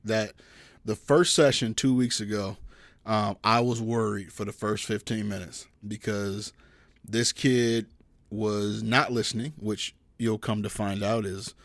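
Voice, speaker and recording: A young man co-hosting a podcast about fatherhood, talking casually about his toddler in an easygoing, halting way with many restarts. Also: fairly low pitch, no echo. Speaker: podcast co-host. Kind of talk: casual chat.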